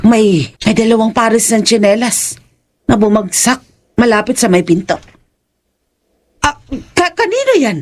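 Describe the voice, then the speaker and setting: A woman's voice: fairly high pitch, lively intonation, a little slow, long pauses. A woman acting as a suspicious, persistent older aunt in an audio horror drama, questioning insistently. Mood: accusing.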